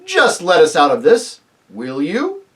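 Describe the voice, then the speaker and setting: A reader voicing a majestic, jovial male lion leader, loud, measured and commanding, in a storybook. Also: mid-range voice, wildly swooping pitch. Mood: hearty, bawling.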